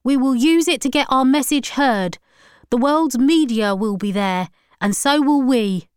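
A woman's voice: high voice, a little slow, clear, resonant tone, no room sound, clean studio sound, voice pushed up. A woman voicing a zealous, accusing religious man with a severe, preachy, declamatory manner. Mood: resolute.

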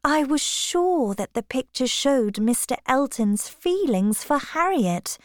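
A woman voicing a proud, composed young gentlewoman in an audiobook, formal and firm. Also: sits high, sing-song, slow, no echo, studio quality, voice pushed up, exaggerated voice. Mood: rueful, critical. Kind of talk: audiobook character dialogue.

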